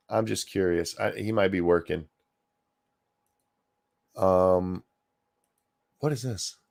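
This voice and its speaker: A man hosting a casual live stream, ranting in a crude, sarcastic way with loud outbursts. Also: fairly low pitch, creaky. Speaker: stream host. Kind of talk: casual profane ranting chat.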